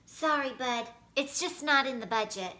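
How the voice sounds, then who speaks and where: Storybook role: patient, firm but kind father, done by a woman, high, bright, matter-of-fact. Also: animated, moderate pace.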